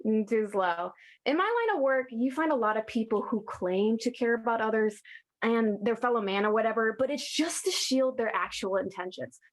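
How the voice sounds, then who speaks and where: Woman playing a high-voiced, jaded demon. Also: animated, moderate pace, lightly acted. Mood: jaded, scornful. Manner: casual, sardonic, sweary. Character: cynical, jaded old demon who scorns human hypocrisy. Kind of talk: theatrical monologue in casual speech.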